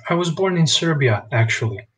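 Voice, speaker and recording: A young man from Bosnia, a guest answering interview questions over a video call in a relaxed, thoughtful way. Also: fairly high pitch, brisk, husky, low-quality recording. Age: young adult. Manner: relaxed, thoughtful, "you know" fillers. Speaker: basketball player guest. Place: small home room.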